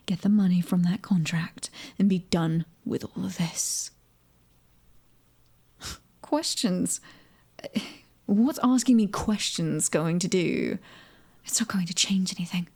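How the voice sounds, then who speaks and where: A cocky British mercenary woman, played by a female ASMR performer, with a dark, husky voice. She taunts at an unhurried pace in an intimate roleplay manner, often sinking to a breathy near-whisper. Her temper is fiery and prickly. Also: mid-range voice. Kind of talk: ASMR audio roleplay acting.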